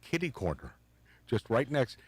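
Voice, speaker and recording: Animated, combative talk radio host man. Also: medium pitch, moderate pace, husky, dry recording. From Pacific Northwest of the US. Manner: animated, combative, swears and mocks. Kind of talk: casual talk radio banter.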